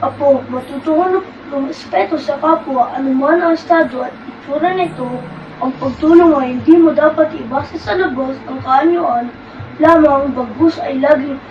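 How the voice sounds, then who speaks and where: A young performer voicing a gentle, wise old beggar man who lectures kindly, in a school puppet show. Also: high voice, moderate pace, close to an everyday voice. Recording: distant echoey lo-fi with loud background noise.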